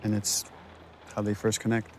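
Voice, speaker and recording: A man's voice: fairly low pitch, completely flat delivery, normal speed, slight background noise. Man giving casual director's commentary. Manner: explanatory, conversational.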